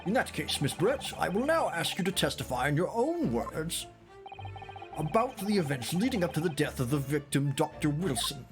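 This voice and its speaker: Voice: medium pitch, big pitch swings, moderate pace, over music, natural voice. A streamer voicing a stern court judge with a formal, commanding manner. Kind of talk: reading game dialogue aloud.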